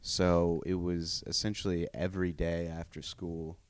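Very deep-voiced laid-back man. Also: flat delivery, measured pace, clear, resonant tone, dry recording. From North Carolina. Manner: rambling, laid-back storytelling with many fillers. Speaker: comedian guest. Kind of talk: casual podcast chat.

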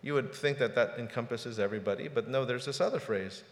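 A man's voice: mid-range voice, fast. A pastor in his forties preaching a sermon in a casual, conversational way, often repeating words as he talks. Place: church sanctuary. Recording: roomy hall reverb.

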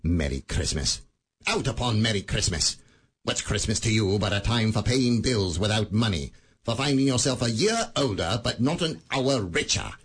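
A miserly old moneylender snaps in a husky, low voice. His pitch swings wide with scorn, and every word comes out clipped. A radio play with a cold, grumbling edge. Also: animated, normal speed. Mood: scornful. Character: miserly bitter old male moneylender Scrooge.

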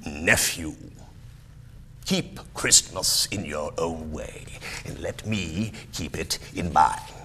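A man's voice: extremely low pitch, takes it slowly, pushed and bright, slight background noise, pitched below the performer's own voice, exaggerated voice. A man voicing a bitter, cold-hearted old miser in a storybook reading, grating and curtly scornful. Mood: irritated. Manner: curt, scornful grumbling. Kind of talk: storybook character voice in dramatic reading.

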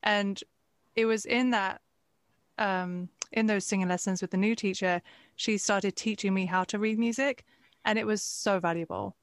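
A woman hosting an interview, speaking in a relaxed, candid and slightly hesitant way. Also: mid-range voice, normal speed, no echo, studio quality. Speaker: interviewer, host. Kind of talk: conversational interview.

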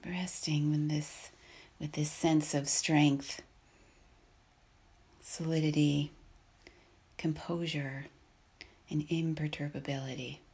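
A woman guides a meditation in a low, gravelly voice. She speaks softly and breathily, with a husky edge. Her phrases come very slowly and calmly, spaced out by long pauses. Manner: calm, unhurried, measured with long pauses.

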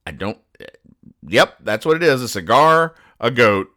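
A man hosting a podcast, reading out and explaining comic strips in a deadpan, mock-serious manner. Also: medium pitch, measured pace, no room sound, clean studio sound. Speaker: podcast host.

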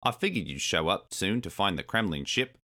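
A man's voice: low-pitched, lively intonation, brisk, sharp tone. A man voicing a friendly, confident swordfish hero with a hearty, commanding manner, in a storybook. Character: heroic friendly male swordfish, bold, confident helper.

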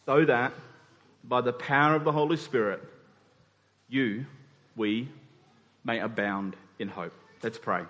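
A man preaching a sermon in an earnest, emphatic way, repeating phrases and pausing deliberately. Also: mid-range voice, dull audio. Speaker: pastor preaching to his congregation. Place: church hall during a service. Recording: reverberant hall sound.

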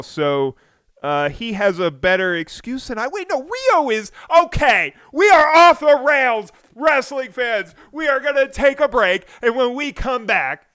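High-voiced, smooth-toned male podcast host. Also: no echo, clean studio sound. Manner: energetic, rising to near shouts when excited; self-deprecating asides. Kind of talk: casual storytelling podcast.

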